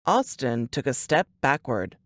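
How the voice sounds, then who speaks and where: Low-pitched woman narrating fiction, lively intonation. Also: unhurried. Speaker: audiobook narrator.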